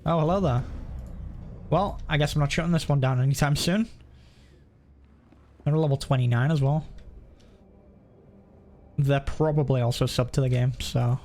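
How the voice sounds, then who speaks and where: A man's voice: medium pitch, moderate pace, pauses often, darkish tone. A man giving casual live commentary as a game streamer, thinking aloud in an informal way.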